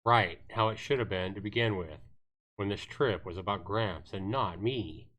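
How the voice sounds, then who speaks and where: A man's voice: lowish voice, moderate pace, a bit bright. A man reading visual novel narration aloud on a livestream, telling it dramatically with the occasional stumble. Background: guitar music.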